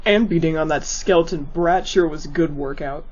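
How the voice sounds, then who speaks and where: Guy doing a preening macho monster bully, bit high, cartoon dub, muffled with music. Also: moderate pace, darkish tone, close to an everyday voice. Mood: smug. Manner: boastful, preening. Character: vain, swaggering muscular horse-merman monster bully. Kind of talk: animated comic dub acting. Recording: muffled lo-fi audio under music.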